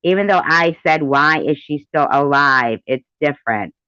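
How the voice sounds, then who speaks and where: A woman's voice: low voice, expressive, moderate pace, pushed and bright, clean tone, no echo. A middle-aged woman giving indignant, emphatic personal commentary, often repeating her phrases. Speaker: commentator reacting to played audio. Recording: dull, lo-fi recording.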